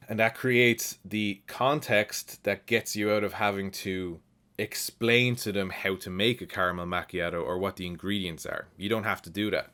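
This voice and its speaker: An Irish man with a lowish voice hosts a casual comment-response video. He talks in a reflective, explanatory manner, reading a remark and then giving his own answer. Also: fairly bright tone. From Ireland. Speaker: language channel host.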